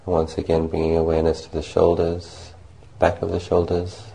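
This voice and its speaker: A man leading a guided meditation as a monk, speaking gently and unhurriedly in short phrases with long pauses. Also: sits low, completely flat delivery, creaky, low-quality recording.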